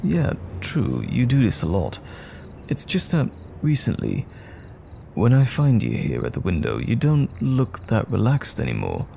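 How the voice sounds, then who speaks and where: Guy acting as a caring worried boyfriend, soft soothing night talk, kinda gravelly, really swoopy pitch. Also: huge pitch swings, measured pace, slight background noise, full character voice. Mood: tender concern. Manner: gentle, soothing, affectionate. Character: tender, worried boyfriend comforting a troubled partner at night. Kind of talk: intimate roleplay audio drama, soft bedside talk.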